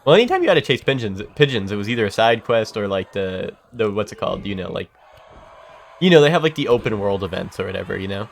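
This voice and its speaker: A man's voice: lowish voice, big pitch swings, quick pace, creaky, slight background noise. A young man with a congested, stuffy voice chats loosely while playing a game on stream. His talk rambles, full of fillers, self-corrections and casual swearing. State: congested from a cold. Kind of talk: casual chat while gaming.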